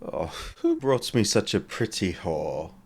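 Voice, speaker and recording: An actor playing a drunk, dazed, lewd wounded pirate with slurred speech in an audio drama. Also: male voice, on the low side, wildly swooping pitch, measured pace, a little mellow, breathy edge, heavily acted. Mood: drunken, leering.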